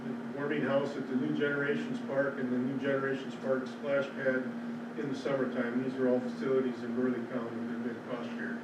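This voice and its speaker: A man's voice: mid-range voice, little pitch movement, a little fast. A man with an Upper Midwestern American accent giving a plain presentation at a public hearing, with some fillers. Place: large public meeting room. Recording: roomy hall, far from mic, loud noise.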